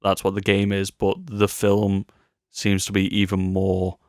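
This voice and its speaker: Man, deep voice, emphatic chat. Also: monotone, measured pace, clean tone, no echo, clean studio sound. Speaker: podcast co-host. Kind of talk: casual film chat.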